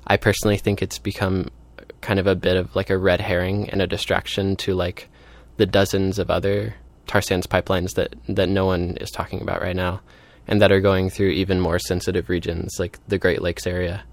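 A man's voice: low voice, level tone, brisk, few pauses, dry recording. A man speaking informally as an activist in an interview, thinking aloud and peppering his sentences with "like". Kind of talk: casual spoken interview.

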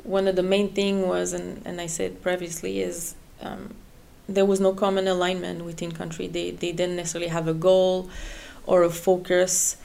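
A woman's voice: on the low side, flat delivery, moderate pace, vocal fry, hardly any pauses, darkish tone. A middle-aged woman from French Canada with a French Canadian accent, speaking English as a guest with many fillers and repeated words. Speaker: head of HR, guest. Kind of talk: interview chat.

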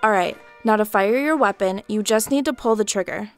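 A woman's voice: medium pitch, a little fast, soft background music, lightly acted. A woman playing a sarcastic, bossy military training instructor in a video game, in a comic audio drama. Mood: bossy, encouraging. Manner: sardonic, bossy, condescending.

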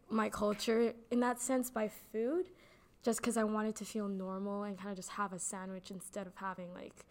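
A young woman giving casual interview answers in a chatty way, with lots of "like" fillers. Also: medium pitch. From Canada. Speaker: interviewee, actor.

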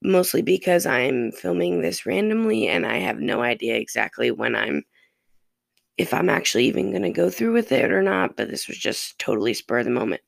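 Raspy, gravelly podcast host. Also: sing-song, brisk, no echo. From Ohio, USA. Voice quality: raspy, fairly deep voice. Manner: loose and profane, drifting between topics. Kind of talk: rambling casual monologue.

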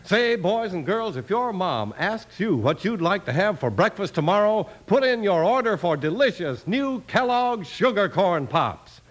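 A man's voice: high-pitched, big pitch swings, a little slow, hardly any pauses. An American man announcing and narrating in an emphatic, theatrical 1950s radio style. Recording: old radio broadcast. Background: music.

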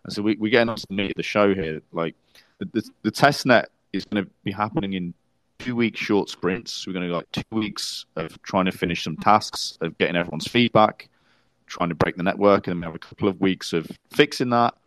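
A man with a low voice co-hosts a casual podcast. He explains at length, stopping and restarting often. The sound is dry and close. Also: brisk, fairly bright tone, dry recording, low-quality recording. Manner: explains plans at length, many fillers and restarts. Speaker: podcast co-host.

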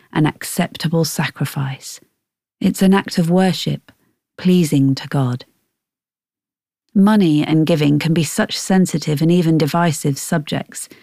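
A woman reading a scripted Bible devotional in a measured, reflective way. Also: sits low, creaky, mellow, no room sound, studio quality. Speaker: devotional reader. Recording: faint music behind.

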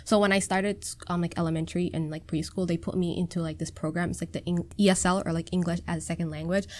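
A woman in her twenties from Minnesota, a podcast guest talking in a relaxed, hesitant way with many "um"s and "like"s. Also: fairly low pitch, fast talker, a little hiss or hum. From Minnesota, USA. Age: 20s. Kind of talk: casual chat.

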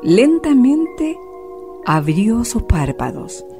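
A woman narrating a radio drama in a measured, expressive storytelling manner. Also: a little high, over music.

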